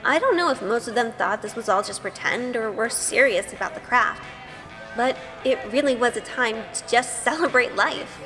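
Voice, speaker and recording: A woman playing an earnest, sweet young witch heroine who narrates an audio drama in a gentle, measured way. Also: fairly high pitch, lively intonation, in a large hall, faint music behind. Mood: reflective.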